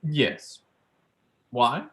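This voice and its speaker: A courteous, slightly cagey gentleman caller in a stage play, acted by a young man. He speaks hesitantly at a middling pitch that swoops up and down. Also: expressive, drawn-out words, exaggerated voice. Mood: polite, hesitant. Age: young adult. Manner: hesitant, polite. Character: male visitor, polite, cagey flamboyant academic type.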